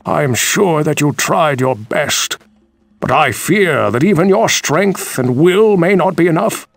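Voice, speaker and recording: An actor playing a grave fantasy village elder in a video game, warning of doom in a measured, theatrical way. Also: male voice, fairly high pitch, lively intonation, vocal fry, no room sound, exaggerated voice. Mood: grave, fearful. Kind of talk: dramatic video game dialogue.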